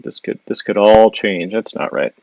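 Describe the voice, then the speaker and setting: A man's voice: lowish voice, animated, moderate pace, vocal fry, a little mellow, no room sound, muffled recording. A man from Wisconsin with a Wisconsin accent, an illustrator thinking aloud as he draws and answers viewer questions on a live stream. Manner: thinking aloud while sketching, with frequent fillers and restarts. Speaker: illustrator giving a live drawing demo. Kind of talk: casual live-stream drawing demo, reading viewer questions. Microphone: device mic on a live social video stream.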